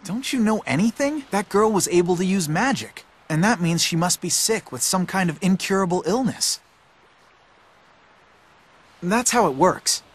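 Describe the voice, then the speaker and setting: A man's voice: sits high, animated, moderate pace, slightly rough. A man voicing a streetwise, cynical boy in a video game, blunt and matter-of-fact, like a bossy older friend. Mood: knowing, blunt. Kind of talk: video game cutscene voice acting.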